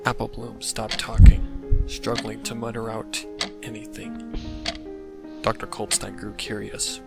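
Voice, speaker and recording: A man reading scripted narration for a dark fan fiction story. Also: lowish voice, flat delivery, unhurried, vocal fry. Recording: loud music under the narration. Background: loud music.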